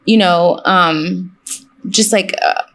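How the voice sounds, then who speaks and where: A woman's voice: fairly low pitch, slow pace, a bit bright. A woman telling personal experiences in conversation, articulate, with emphatic, repeated phrasing.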